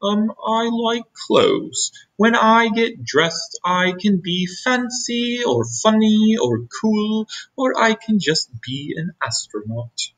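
An innocent storybook mole rat, put on by a man, pitched high and bouncing around. He sounds cheerful and naive, a little hesitant but keen. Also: expressive, takes it slowly, hardly any pauses, fairly bright tone, exaggerated voice, rough recording. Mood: shy, earnest. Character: eager, innocent naked mole rat who loves clothes.

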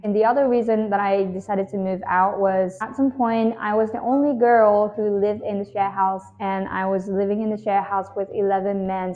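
A woman's voice: medium pitch, narrow, tinny audio. A young woman in her twenties, a native Japanese speaker with a Japanese accent, chatting frankly to camera in slightly broken English as a vlogger, recorded on a lapel mic in an apartment kitchen. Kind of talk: casual talk to camera.

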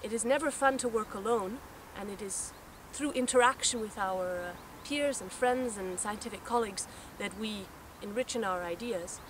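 A young woman course instructor gives a measured, welcoming introduction to camera, standing outdoors in open grassy dunes. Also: medium pitch. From Texas, raised in France. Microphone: camera mic at a distance. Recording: distant outdoor mic with wind noise.

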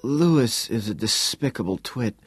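A man putting on a high-pitched, exasperated young woman. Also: animated, normal speed, breathy edge, soft background music. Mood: gasping. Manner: shrieking, indignant delivery. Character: irritable, exasperated young woman, gasping and shrill.

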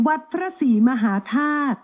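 A woman reading recorded train station announcements in a calm, even, measured delivery. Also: phone-quality sound. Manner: slow, evenly spaced announcements in two languages.

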